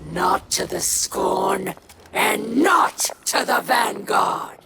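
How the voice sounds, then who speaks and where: An actress playing a proud, fierce alien rebel leader in a video game, shouting defiant declarations in a gravelly voice. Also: female voice, medium pitch, drawn-out words, very noisy. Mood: fierce defiance. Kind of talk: video game villain acting.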